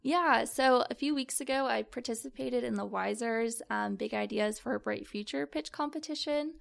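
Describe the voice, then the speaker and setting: A woman's voice: a little high, moderate pace, vocal fry. A young woman, a student founder, answering interview questions in an upbeat way with many fillers. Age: young adult.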